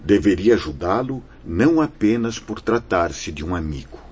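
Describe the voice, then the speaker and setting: A man playing a kindly, solemn priest spirit in a radio drama, telling his tale in a husky, measured, poetic way. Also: low voice, animated, darkish tone. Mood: firm. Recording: dull lo-fi, faint music behind.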